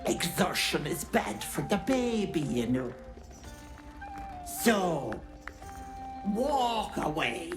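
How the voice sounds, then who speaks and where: Gloating old hag, wildly swooping voice. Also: female voice, fairly low pitch, sing-song, drawn-out words, pauses often, music in the background, full character voice. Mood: mock-reasonable, menacing. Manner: theatrical, taunting, gloating. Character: gleefully cruel pregnant old female hag, mocking and menacing. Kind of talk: video game villain acting.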